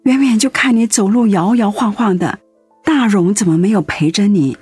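A woman's voice: mid-range voice, normal speed, a little mellow, husky, dry recording, faint music behind, close to an everyday voice. A woman playing a devoted, anxious middle-aged wife in a radio drama, earnest and full of concern. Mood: worried.

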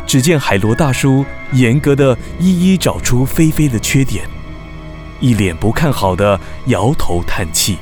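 A man narrating a children's audio drama as an expressive storyteller. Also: medium pitch, measured pace, faint music behind, lightly acted. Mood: dramatic, concerned.

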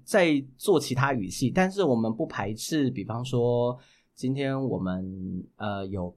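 A man's voice: medium pitch, expressive, measured pace, darkish tone. A man with a Taiwanese Mandarin accent talking casually as a podcast guest, explaining things and often repeating words for emphasis. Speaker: guest, voice actor and children's audio drama writer.

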